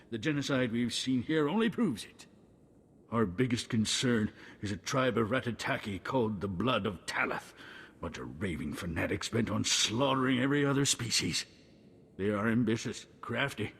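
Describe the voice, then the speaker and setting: A self-important senator in a game cutscene. His mid-pitched voice has a rough, husky edge, and he delivers everything with grandiose political flourish. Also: moderate pace, fairly bright tone. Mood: alarmed. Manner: grandiose, theatrical politician's patter. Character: pompous, smooth-talking male politician, a senator. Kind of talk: video game cutscene acting.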